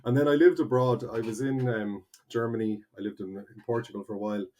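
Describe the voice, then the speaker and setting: A man's voice: medium pitch, a little fast. A man in his forties from North County Kildare with an Irish accent, a guest in a conversational interview who explains things eagerly, restarting often. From Ireland (North County Kildare). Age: 40s. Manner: animated, explanatory, with many restarts. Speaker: guest, translator.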